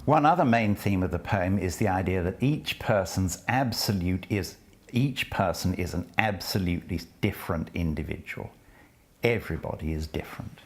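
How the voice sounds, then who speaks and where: A man who introduces a poem and then reads it aloud, in a measured, deliberate way, letting phrase ends fall and slipping into soft whispers at times. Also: low-pitched, expressive. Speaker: poetry reader and lecturer. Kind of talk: spoken introduction and poetry reading.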